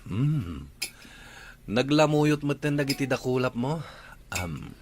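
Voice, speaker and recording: Actor as a charming rich young suitor, persuasive, lively pitch, faint hiss behind. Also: male voice, slow.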